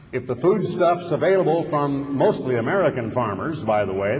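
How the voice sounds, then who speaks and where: A man's voice: a little high, darkish tone. A man preaching a sermon, building his points with rhetorical questions and sudden emphatic rises. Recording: long hall reverb with faint ambient noise.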